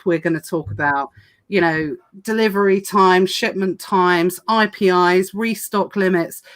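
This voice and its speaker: A woman hosting a casual livestream chat, talking fast with lots of fillers and restarts, her voice going hoarse. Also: lowish voice, a bit bright. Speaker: podcast host.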